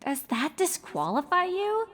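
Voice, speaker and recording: A woman voices an earnest young mare in a storybook. The voice is high and unhurried, with lively swings of pitch and expressive little asides. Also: exaggerated voice. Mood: wry thought. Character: bright, earnest young female pony, keen spectator.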